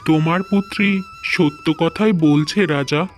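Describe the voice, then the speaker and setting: A man's voice: fairly high pitch, unhurried, lightly acted. A man playing a mysterious, wise hermit sage in a cartoon, explaining things solemnly, with music behind.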